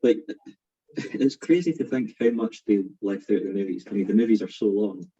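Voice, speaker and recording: A man's voice: lowish voice, normal speed, warm tone, breathy edge. A man chatting casually in a book discussion, recorded in muffled lo-fi audio.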